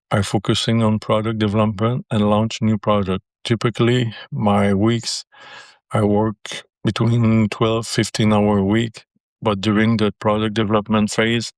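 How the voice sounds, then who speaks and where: An older man from Quebec with a Québécois French accent, a native French speaker answering interview questions in careful, halting English. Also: lowish voice, no echo. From Quebec, Canada. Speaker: business seller.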